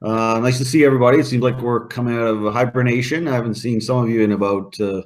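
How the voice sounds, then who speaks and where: A man's voice: medium pitch, steady tone, quick pace. A man giving a casual update to a group on a conference call. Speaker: program update presenter.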